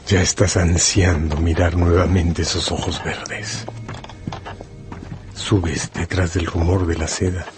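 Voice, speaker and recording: A man playing a solemn, hypnotic narrator in a literary radio drama, measured and intimate. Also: sits low. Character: solemn, hypnotic male narrator addressing the listener as protagonist. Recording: music behind the voice.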